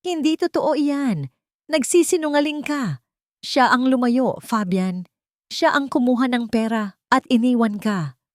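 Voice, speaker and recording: A female storyteller plays a wealthy older matriarch in a radio drama. She is haughty and domineering, with lines pitched up from the narration and thrown out as sharp, disdainful accusations. The recording is close and dry. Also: unhurried, no room sound, lightly acted. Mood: angry denial.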